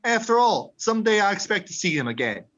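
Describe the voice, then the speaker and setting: Through slightly dull audio, a man acts a kindly old ghost in a radio play. His voice is bright and lively in pitch, and he persuades gently. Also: fast talker. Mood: gently persuasive. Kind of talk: amateur radio drama acting. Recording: dull lo-fi sound.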